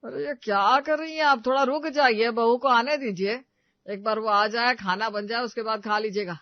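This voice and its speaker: A man plays a pompous middle-aged father in a comic family drama, with a very high voice in a dry, dull recording. He blusters, boasts and scolds with a short temper. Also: steady tone, normal speed, no room sound. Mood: nagging. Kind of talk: Hindi comedy story dramatization.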